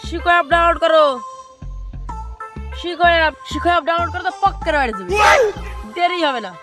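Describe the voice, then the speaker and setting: Performer playing a pushy, high-pitched village app hawker. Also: fast, loud music behind the voice. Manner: insistent, repetitive, loudly dismissive. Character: pushy young man hawking an app as cure-all. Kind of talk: amateur village comedy skit.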